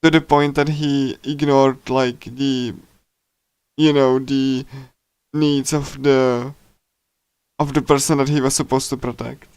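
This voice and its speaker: A man livestreaming in a casual, rambling chat style, speaking haltingly with lots of filler words. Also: medium pitch, flat delivery, a little mellow, clear, resonant tone, no room sound. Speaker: livestreamer reading a visual novel.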